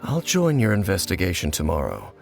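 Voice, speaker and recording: An actor playing a solemn, world-weary warrior in a video game, speaking in a grave, measured, formal way. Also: male voice, deep voice, huge pitch swings, creaky, faint music behind, heavily acted. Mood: serious, calm. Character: solemn, world-weary, unforgiving male warrior of a fallen nation.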